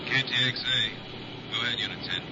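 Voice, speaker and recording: Clipped police dispatcher, formal radio talk, muffled old radio, clear static. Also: male voice, mid-range voice, flat delivery, moderate pace, sharp tone.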